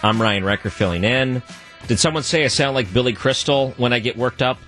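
A man with a deep voice, guest hosting a talk radio show and arguing his point alone, his voice jumping higher when he gets worked up. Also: brisk, faint music behind. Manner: animated, voice jumps high when worked up. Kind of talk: solo talk radio monologue.

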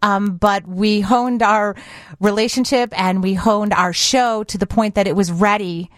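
A woman's voice: mid-range voice, fairly even intonation, a little slow, dry recording. A middle-aged woman with an American accent chatting casually as a radio guest, with a dry, witty manner. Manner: dryly witty. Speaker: radio show co-host as guest. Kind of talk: casual interview chat. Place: radio studio. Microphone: close studio microphone.

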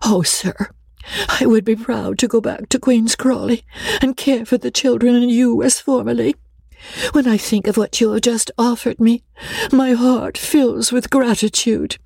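A woman puts on a meek young governess from a storybook. Her voice is raised high and swoops dramatically as she pleads. She is polite and tearfully grateful, with a slightly husky tone. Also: animated, normal speed, warm tone, no echo. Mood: pleading.

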